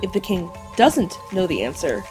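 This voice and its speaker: A woman's voice: on the low side, very animated, moderate pace, music in the background. A woman playing an earnest, bookish lover of legends in an audio drama, precise and explanatory. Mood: storytelling.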